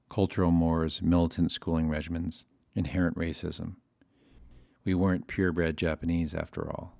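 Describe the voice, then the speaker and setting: A man's voice: low-pitched, darkish tone. A middle-aged man from California narrating his own family story in scripted documentary style, with wry, self-deprecating asides.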